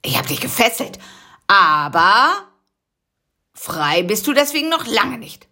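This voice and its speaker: A woman's voice: medium pitch, sing-song, a little slow, bright, forceful tone, voice pushed up, heavily acted. A woman voicing a lazy, sleepy male spider who mumbles and grumbles. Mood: gruff.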